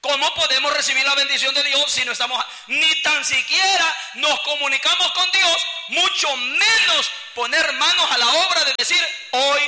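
A male evangelical preacher with a Salvadoran accent delivers an exhorting, colloquial sermon. His voice sits extremely high and is very sharp and forceful. Long reverb from a large hall trails his words. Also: moderate pace, few pauses. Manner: exhortative, colloquial, rhetorical questions. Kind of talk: impassioned church sermon with scripture teaching.

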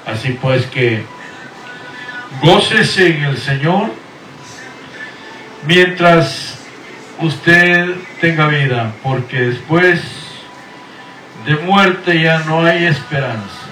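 A middle-aged man, a pastor, preaching and sending drawn-out, earnest blessings and greetings over the radio. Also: fairly high pitch, expressive, pushed and bright, faint music behind. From Guatemala. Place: Houston, Texas. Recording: echoey room, voice from far off the mic.

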